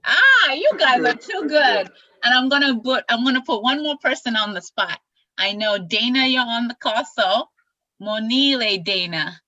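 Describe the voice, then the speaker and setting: A young woman hosting an online event, upbeat and animated as she teaches greetings over a video call. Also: fairly high pitch, moderate pace, no echo. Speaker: executive director and event host. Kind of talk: enthusiastic online presentation. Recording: slightly dull lo-fi call audio.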